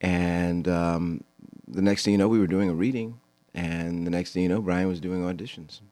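A man in his fifties, a veteran actor guest on a radio show, telling a story in a relaxed, halting way with many pauses. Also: deep voice, no room sound. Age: 50s. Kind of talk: casual storytelling interview. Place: radio studio.

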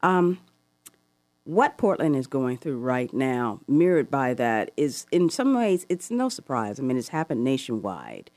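A woman hosting a call-in radio talk show, asking long, thoughtful questions with soft laughs. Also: extremely low pitch, sing-song, measured pace, no echo. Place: radio studio.

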